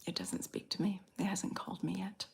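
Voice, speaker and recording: A woman from the Southern US with a slight Southern accent, softly whispering in an unhurried, drawn-out way as she chats with viewers in an ASMR stream. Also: lowish voice, sing-song, creaky. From Southern United States. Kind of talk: ASMR whispered live chat.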